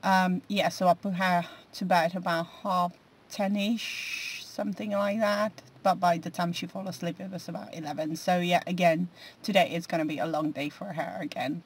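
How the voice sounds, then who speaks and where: A woman's voice: on the low side, steady tone, normal speed, breathy edge. A woman in her forties chatting casually to camera from inside a parked car, rambling with many fillers and restarts. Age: 40s. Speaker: vlogger. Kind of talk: casual food diary chat.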